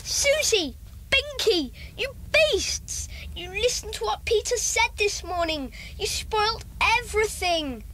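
A woman's voice: extremely high pitch, lively intonation, slow, sharp tone, slight background noise, full character voice. A woman playing a keen, easily indignant child in a children's audio drama.

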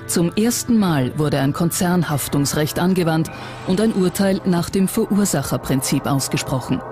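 Documentary narrator, mid-pitched voice, scripted read with music clearly underneath. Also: normal speed.

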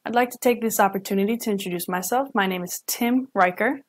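A young woman, a student interpreter, voicing a signed lecture live at home into a webcam, pausing and correcting herself as she goes. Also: mid-range voice. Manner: halting, with self-corrections and restarts. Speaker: student sign language interpreter.

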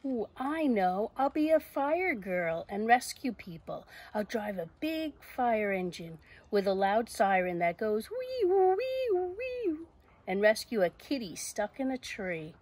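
A woman voicing a bold, imaginative little girl from a picture book, speaking emphatically, with a slightly husky voice. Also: mid-range voice, animated, unhurried, hardly any pauses, a little mellow. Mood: eager. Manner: emphatic, declarative, defiant.

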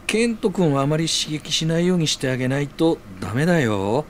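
Male actor as considerate senior colleague, friendly, calming, somewhat high voice, varied intonation, audio drama. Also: fairly quick. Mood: gentle, considerate. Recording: audible background noise.

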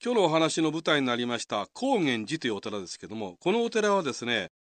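A man's voice: mid-range voice, sing-song, fast talker, fairly bright tone, no room sound. A man hosting, explaining a folk tale in a polite, conversational way. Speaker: host.

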